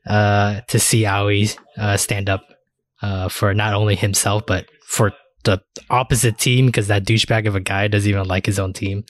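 A man's voice: fairly low pitch, flat delivery, moderate pace. A man hosting a casual anime discussion, talking in a rambling, slangy way with casual swearing. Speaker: podcast host.

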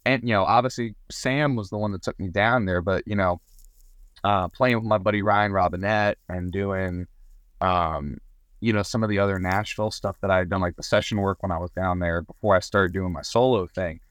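A man's voice: deep voice, steady tone, moderate pace, a bit bright, clear, resonant tone, no room sound, clean studio sound. A man from Southern Maryland, a singer-songwriter guest, talking casually with frequent restarts and fillers. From Southern Maryland, USA. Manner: chatty, many restarts and fillers. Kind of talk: casual podcast conversation.

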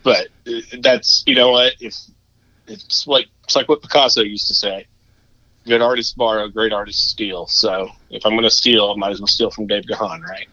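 Man, low voice, bright tense tone, dull muffled recording, casual rambling talk. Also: fairly even intonation, moderate pace, no echo. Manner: self-deprecating, rambling with restarts. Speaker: musician guest. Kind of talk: casual interview chat.

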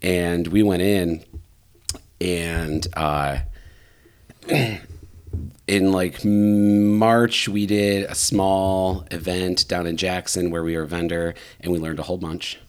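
A man in his thirties with a notably low, deep voice, hosting a podcast. He tells stories casually, wandering through details with plenty of "like" and "bro". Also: flat delivery, takes it slowly, darkish tone, clear, resonant tone. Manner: rambling storyteller, lots of fillers and "bro". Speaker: podcast host. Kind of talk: casual conversation.

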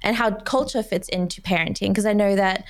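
A woman hosts a podcast in a relaxed, chatty way, stringing her thoughts together in long run-on sentences. Her voice sits at an ordinary middle pitch, and she keeps her questions conversational. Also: brisk. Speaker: podcast host.

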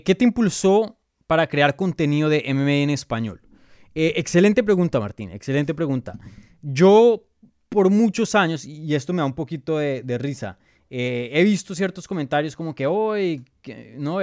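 A man's voice: fairly high pitch, darkish tone, no room sound, clean studio sound. A Colombian-born man hosting a live Q&A, reading viewer questions aloud and answering them in a chatty way, with many fillers and restarts. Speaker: MMA journalist and show host.

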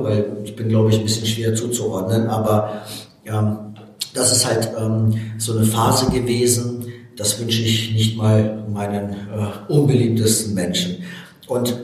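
A man in his forties from Baku, a native Russian speaker, earnestly telling his personal story as a motivational coach in an interview, with frequent hesitations. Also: mid-range voice. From Baku, Azerbaijan. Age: 40s. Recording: very echoey room, distant from mic.

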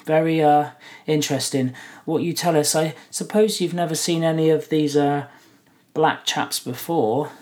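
A man's voice: a little high, a little slow, a little mellow, voice pushed up, close to an everyday voice. A man voicing a polite, slightly hesitant young male hobbit.

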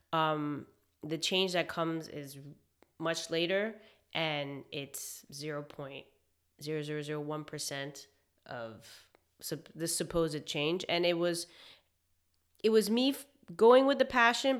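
A young woman from Hong Kong, a chef, talking reflectively in a casual interview with many pauses and fillers. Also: lowish voice. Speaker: guest, chef.

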